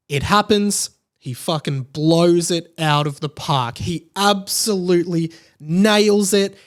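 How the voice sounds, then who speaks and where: A man hosting a podcast, talking casually and emphatically in an informal, gushing fan style. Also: a little high. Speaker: podcast host. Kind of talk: casual opinionated podcast monologue about pop culture.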